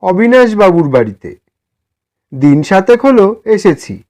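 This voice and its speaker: A timid, humble gentleman from a storybook, acted out by a male narrator. He is poor and kind, and pleads politely in a raised, high voice. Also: big pitch swings, a little slow, exaggerated voice. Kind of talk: dramatised storybook dialogue.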